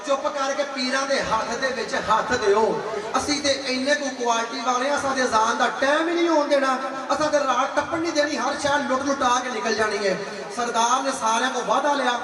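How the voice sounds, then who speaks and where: A man preaching to a gathering, telling a religious story dramatically, acting out dialogue and repeating phrases for emphasis. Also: very high-pitched. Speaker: Islamic preacher. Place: large gathering with audience. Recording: echoey reverberant hall, distant mic, crowd.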